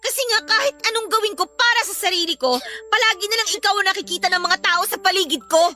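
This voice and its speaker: Irritable young Filipina elder sister: bright, forceful, very high voice, blunt and exasperated radio-drama delivery. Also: female voice, quick pace. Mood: angry, bitter.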